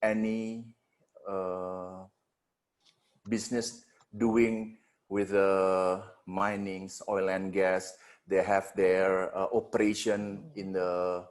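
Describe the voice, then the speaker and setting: A man speaking as a security panelist on a webinar video call, halting with many fillers and restarts. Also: low-pitched, flat delivery.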